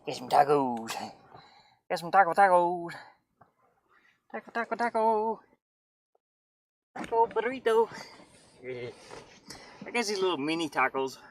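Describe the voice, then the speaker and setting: Middle aged streamer just chatting, rambly n goofy, repeats words a lot, medium pitch voice. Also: lively intonation, measured pace, long pauses. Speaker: game streamer. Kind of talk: casual livestream chat.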